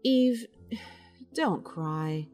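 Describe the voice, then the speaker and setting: Woman acting measured, slow-paced sensible lady friend. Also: lowish voice, expressive, warm tone, soft background music. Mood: skeptical. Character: sensible, skeptical woman, loyal friend of an actress. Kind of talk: audio drama acting.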